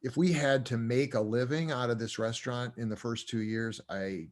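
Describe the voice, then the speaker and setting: A man's voice: mid-range voice, fairly even intonation, normal speed. Rambling chuckling older man. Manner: rambling, chuckling, many fillers and restarts. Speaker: co-owner and professor. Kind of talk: casual interview talk.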